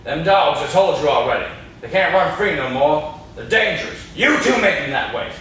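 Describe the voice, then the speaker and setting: A man's voice: a little high, lively intonation, normal speed, bright, forceful tone. A young man acting in an amateur stage play as a menacing, mournful old cabin man with a folksy rustic drawl. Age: young adult. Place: classroom, indoors. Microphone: far camera. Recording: echoey classroom, distant camera mic, noisy.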